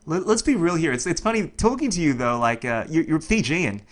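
A man's voice: on the high side, animated, a little fast. A man hosting a casual interview, talking informally and circling round his point. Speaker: podcast host, interviewer.

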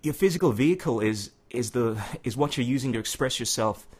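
A man's voice: medium pitch, expressive, a little mellow, husky. A man hosting a podcast, talking casually with jokes and lots of agreeing interjections. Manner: fast, joking, affirming interjections. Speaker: podcast host.

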